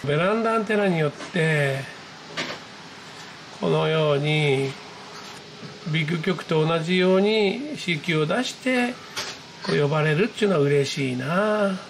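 A man giving an excited, casual running commentary to viewers as a radio hobbyist, exclaiming and muttering to himself. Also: a little high, moderate pace, gravelly, pauses often, background noise. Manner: exclamatory, talking to himself and the screen. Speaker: amateur radio hobbyist narrating own video. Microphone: handheld camera mic, pointed at computer screen.

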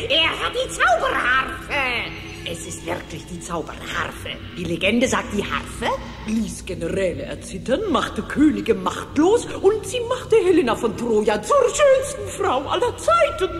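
An actress playing a vain, scheming, greedy witch in a cartoon radio play, with theatrical, drawn-out gloating. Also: on the low side, lively intonation. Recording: reverberant hall, music behind.

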